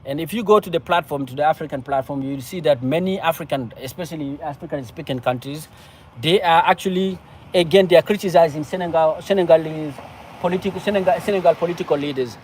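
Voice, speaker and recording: A man from Cameroon with a Cameroonian accent, speaking off the cuff about politics in a halting, repetitive, emphatic way. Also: on the high side, darkish tone, slight background noise. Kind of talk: impassioned political discussion, casual and unscripted.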